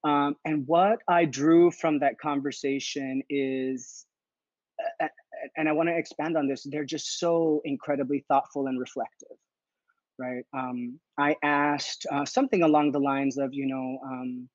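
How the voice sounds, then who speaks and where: A man's voice: medium pitch, steady tone, unhurried, no echo. A man in his thirties, a program director, answering interview questions earnestly over a video call, often checking in with "right?". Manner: earnest, thoughtful, frequent "uh" and "right?". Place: home room with plants. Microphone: video call, earbuds. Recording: dull, dry video-call audio.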